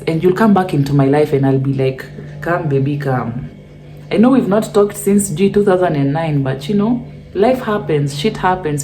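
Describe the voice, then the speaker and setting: A woman's voice: sits low, mellow, low-quality recording. A young woman with a lisp, chatting playfully and sassily to camera as she answers fan questions. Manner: playful, sassy, mixes Swahili slang into English. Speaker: vlogger reading fan questions. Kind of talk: casual chat to camera.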